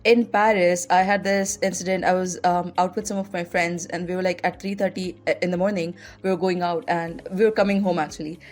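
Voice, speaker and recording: A woman's voice: fairly low pitch, steady tone, a little fast, hardly any pauses, soft background music. A young woman from India with an Indian English accent, hosting a podcast and telling personal stories in a chatty way full of "like" fillers. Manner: chatty, heavy use of "like" fillers. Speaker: podcast host. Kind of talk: casual personal storytelling.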